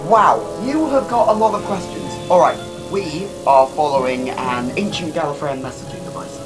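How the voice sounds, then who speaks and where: A man's voice: a little high, animated, normal speed, over music, close to an everyday voice, poor audio. A man in a fan audio drama playing a dizzy, irritable, newly regenerated alien time traveller with an erratic manner. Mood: agitated explaining. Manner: erratic, exclamatory.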